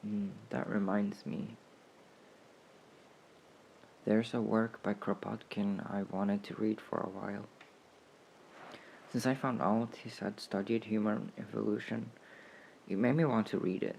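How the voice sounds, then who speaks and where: A man speaking in a gentle, coaxing way, as in an intimate comfort roleplay. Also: on the low side, fairly even intonation, vocal fry, a little hiss or hum, low-quality recording. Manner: gentle, coaxing, slow and unhurried. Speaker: roleplay audio performer playing a caring comrade.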